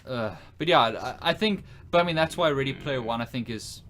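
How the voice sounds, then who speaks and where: A man's voice: mid-range voice, lively intonation, brisk, fairly bright tone, a little hiss or hum. A man co-hosting a podcast, speaking emphatically in casual film chat and swearing freely. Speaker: podcast co-host. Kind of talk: casual panel chat about films.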